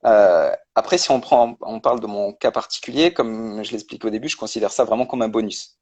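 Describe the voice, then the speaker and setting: Low-voiced man explaining finances. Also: brisk, a little mellow, husky. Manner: explains step by step with many hesitations. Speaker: guest, personal finance creator. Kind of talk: casual explanatory interview.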